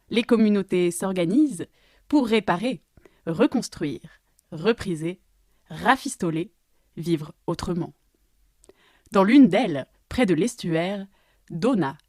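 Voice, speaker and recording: A woman narrating a scripted story as narrator, with measured, deliberate phrasing. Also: on the low side, a little mellow, no echo, studio quality.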